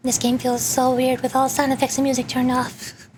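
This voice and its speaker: A woman's voice: high voice, monotone, moderate pace, darkish tone, slight background noise, poor audio. A woman chatting casually and playfully as she livestreams a video game, rambling to herself and her viewers. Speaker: streamer, VTuber. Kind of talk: casual gameplay livestream chat.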